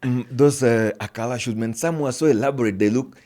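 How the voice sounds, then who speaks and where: A Kenyan man hosting a podcast, chatting casually and relaxed, often affirming with "yeah, man". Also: medium pitch, fairly quick. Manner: relaxed, many affirming back-channels, says "man" often. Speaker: podcast host. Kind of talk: casual interview chat.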